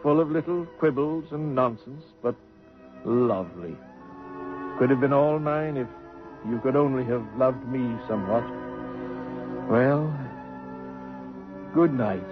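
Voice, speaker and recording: An actor playing a genteel, gently teasing old man who lodges with a family, full of dry quips and courtly phrasing. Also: mid-range voice, expressive, slow, long pauses, over music. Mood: wry. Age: older. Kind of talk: 1950s radio drama acting. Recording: muffled old radio broadcast.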